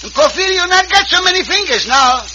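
An actor playing a good-natured, simple-minded Mexican cowboy sidekick in an old radio Western, with a Mexican accent and broken English, speaking of himself by name. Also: male voice, very high-pitched, expressive, a little fast. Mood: comic, eager. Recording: noisy vintage radio recording.

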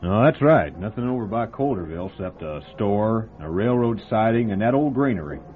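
A man doing a folksy small-town diner keeper in a vintage radio play, gossiping with relish and a lively, swooping voice. The sound is thin and phone-like, with faint music under it. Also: lowish voice, brisk, close to an everyday voice. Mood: gossipy. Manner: folksy, relishing a gruesome story. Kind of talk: old-time radio drama acting.

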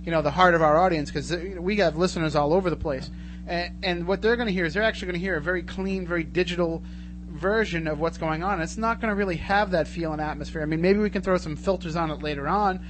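A man's voice: sits high, fast. A man hosting a talk radio show, speaking casually as he interviews a guest. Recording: noisy radio broadcast with hum.

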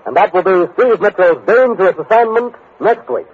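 Male American announcer, 1950s network promo style, high husky voice, tinny narrow-band recording. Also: big pitch swings, normal speed. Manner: formal, polished promotional delivery. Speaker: radio announcer.

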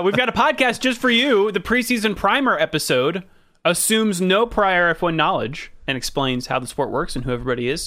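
A man's voice: a little high, bright, forceful tone. A man hosting a podcast, reading the intro and chatting in a playful, upbeat way. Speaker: podcast host. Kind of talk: casual chat and show intro.

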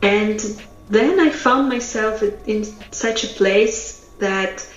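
A woman from Russia, a public speaking trainer telling her story as an interview guest, slow and thoughtful with many hesitations. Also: mid-range voice. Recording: roomy echo, dull lo-fi sound, faint music.

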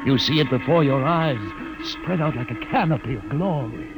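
An actor playing a calm, condescending older man who holds a prisoner aboard a starship, speaking in a measured, commanding way in an old radio drama. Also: medium pitch, music in the background, natural voice, low-quality recording. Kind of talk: 1950s radio drama acting. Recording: vintage radio broadcast with hiss.

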